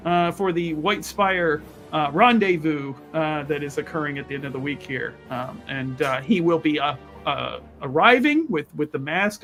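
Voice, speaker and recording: A man improvising his character's story at a tabletop roleplaying game, wordy and halting, often repeating himself. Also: on the high side, a bit bright.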